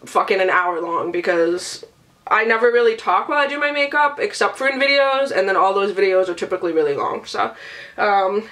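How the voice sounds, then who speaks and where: A woman's voice: medium pitch, animated, a little fast, fairly bright tone. A woman with a sick, nasal voice chatting casually and rambling as a beauty and book vlogger, swearing freely. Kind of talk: casual rambling vlog chat.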